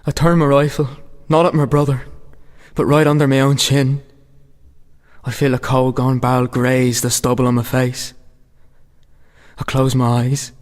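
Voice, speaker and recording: An actor playing a young soldier who narrates his own execution in steady, grim tones. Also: medium pitch, lightly acted. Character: young male soldier refusing to shoot his brother. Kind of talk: amateur radio play acting.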